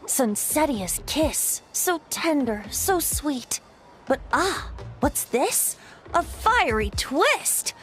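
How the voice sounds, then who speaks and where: A woman's voice: sits high, very animated, drawn-out words, fairly bright tone, soft background music, full character voice. A woman playing a playful, whimsical young male bard in an anime game, with a lilting, theatrical and poetic delivery. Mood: wistful, poetic.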